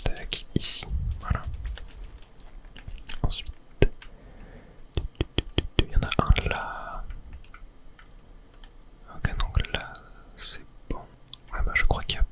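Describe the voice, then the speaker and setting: A man whispering breathy, murmured running commentary as he plays a video game for ASMR viewers. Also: slow, pauses often, pushed and bright.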